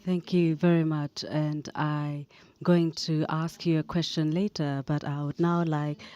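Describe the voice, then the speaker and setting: Woman, about forty, from Germany, conference panelist; lowish voice, slow and careful with a few hesitations. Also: level tone, warm tone, smooth, muffled recording. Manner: slow and deliberate, with some hesitations. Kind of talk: conference speech. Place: conference hall.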